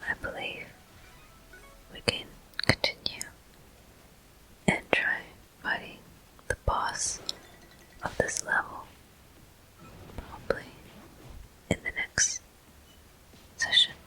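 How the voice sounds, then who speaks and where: A person whispering gameplay commentary for an ASMR video, breathy and gentle, in short, unhurried phrases. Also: low-quality recording. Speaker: host of a gaming ASMR video.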